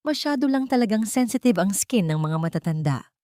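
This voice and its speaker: A woman's voice: mid-range voice, animated, a little fast, warm tone, no echo, clean studio sound, voice pushed up, lightly acted. Woman playing a smug, falsely sweet villainous aunt. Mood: smug, reassuring. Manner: falsely cheerful, smug, English phrases mixed in.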